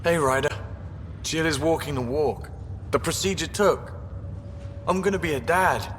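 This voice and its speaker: A man's voice: fairly high pitch, unhurried, a bit bright. An actor playing a cheerful, cocky male spaceship crewman in a video game, casual and joking. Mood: proud, excited. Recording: long reverb, faint ambient noise.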